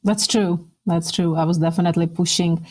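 A woman's voice: lowish voice, fairly even intonation, a little fast. A middle-aged woman from the Czech Republic with a Czech accent, sharing personal recollections conversationally as an interview guest.

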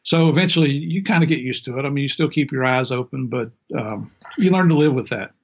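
A man's voice: medium pitch, fairly quick, breathy edge, muffled recording. Older man with a country Southern accent. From Middle Tennessee and Southern West Virginia, USA. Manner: folksy, self-deprecating, chuckles often. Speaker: former drug enforcement agent, interview guest. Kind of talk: casual interview storytelling.

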